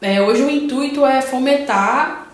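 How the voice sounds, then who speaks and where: A woman's voice: sits high, measured pace. A woman from Brazil, a teacher, speaking casually in a podcast conversation, often restarting and adding tag questions. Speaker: Portuguese heritage-language teacher in Germany. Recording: very echoey room, faint noise.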